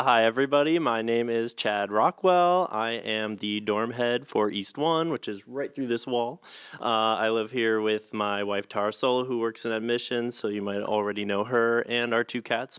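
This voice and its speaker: A man's voice: medium pitch, normal speed, a bit bright, clear, resonant tone. A man in his thirties, a dorm head, speaking in an upbeat, chatty way into a handheld mic.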